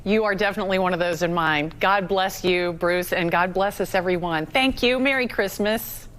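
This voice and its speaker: A woman's voice: fairly low pitch, normal speed, a bit bright. Friendly woman hosting an interview. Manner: friendly, informal. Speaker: TV news host interviewing a guest. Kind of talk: warm chatty broadcast interview.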